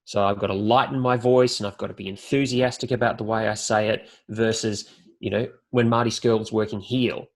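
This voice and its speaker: A man's voice: fairly low pitch, fairly even intonation, a little fast. An Australian man speaking as a podcast guest and announcer, explaining things articulately and building his points in lists. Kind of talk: podcast interview talk.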